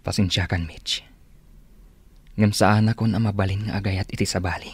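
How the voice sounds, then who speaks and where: A sincere young boyfriend in a radio drama. His voice is fairly low, and he speaks gently and earnestly, like someone careful of another's feelings. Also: fairly even intonation, normal speed, breathy edge, no room sound. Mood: earnest, apologetic. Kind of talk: Ilocano radio drama acting.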